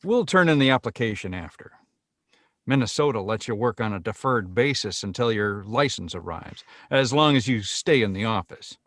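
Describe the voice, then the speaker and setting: A man reads a seedy private-investigation boss in his fifties. The pitch is middling but swings about, hesitant and evasive like a reluctant salesman, in a dry screenplay reading. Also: expressive, moderate pace, a bit bright, no echo. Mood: evasive, businesslike. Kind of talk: screenplay table read.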